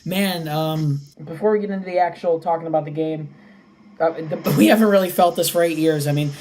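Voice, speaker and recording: A young man around eighteen hosting a casual sports podcast, his voice slightly raspy, rattling off game stats. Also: a little high, brisk, noisy background. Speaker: podcast host.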